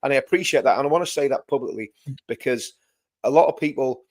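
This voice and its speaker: A man hosting a live-stream chat. He talks in a warm, chatty way and drops in self-deprecating asides. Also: medium pitch, fast.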